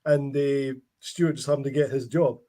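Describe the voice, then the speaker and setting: A man's voice: medium pitch, fairly even intonation, moderate pace, mellow. Man hosting a rambling chat. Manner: rambling anecdotes with frequent restarts. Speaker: host. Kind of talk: casual chat.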